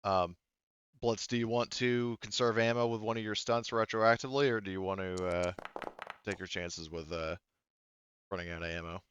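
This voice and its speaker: Clear, low-voiced man running a game. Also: flat delivery, normal speed, fairly bright tone, dry recording. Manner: explains rules in detail, thinking aloud. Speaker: game master. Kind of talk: casual tabletop game refereeing. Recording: dull, slightly muffled close recording.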